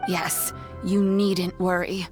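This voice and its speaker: An actress playing a kindly elderly granny in a video game, speaking fondly and reassuringly without any hurry. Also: female voice, fairly low pitch, level tone, over music. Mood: warm, reassuring. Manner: unhurried, fond, reassuring. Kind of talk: English video game voice acting.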